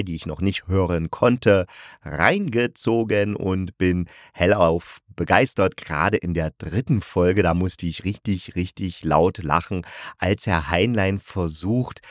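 A man's voice: lowish voice, no room sound, clean studio sound. A man hosting a solo podcast, reviewing in a casual, chatty way with enthusiasm and rambling asides. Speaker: podcast host reviewing audio dramas.

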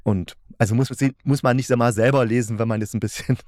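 Male podcast interviewer, mid-pitched, informal and rambling, searching for words, recorded dry. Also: steady tone, fast, darkish tone, no echo. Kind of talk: casual interview chat.

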